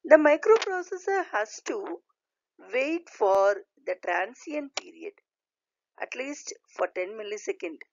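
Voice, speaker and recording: A woman lecturer reading a scripted slide lesson aloud in a deliberate, halting way, in short phrases. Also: a little high, lively intonation, vocal fry, no room sound. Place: voice-over recorded over slides. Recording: dull, lo-fi voice recording.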